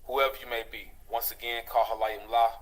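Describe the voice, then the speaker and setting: A man giving a solemn, formal religious address in a measured, invocational manner. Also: mid-range voice, little pitch movement, fairly bright tone. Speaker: religious teacher in a played video.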